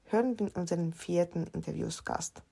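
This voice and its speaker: A woman narrating a report in a measured, informative, scripted way. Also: fairly low pitch, creaky, dark tone, breathy edge.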